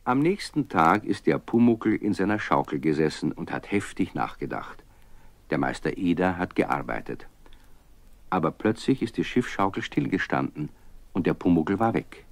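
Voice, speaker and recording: Narrator for children's audio drama: low-voiced man, expressive storytelling, dry studio sound. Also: dry recording. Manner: storyteller addressing young listeners with teasing questions.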